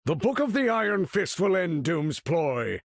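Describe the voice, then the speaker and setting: A man's voice: high-pitched, big pitch swings, a little slow, vocal fry, dry recording, exaggerated voice. A man voicing a proud, imperious older mutant master of magnetism in a video game, speaking in a grandiose, formal, commanding way. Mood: stately, grave. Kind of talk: theatrical game character voice lines.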